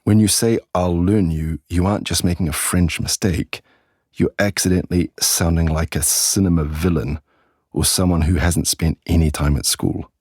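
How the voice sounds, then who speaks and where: A man from England with an English accent, presenting a scripted English lesson in a clear, deliberate way and slowing down on key words and example sentences. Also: low voice, fairly even intonation, a little mellow, no echo, studio quality. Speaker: English teacher.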